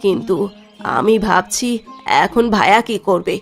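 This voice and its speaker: A woman's voice: medium pitch, measured pace, creaky, faint music behind. A woman voicing a caring, worried elder sister in a village fairy-tale cartoon, earnest and questioning.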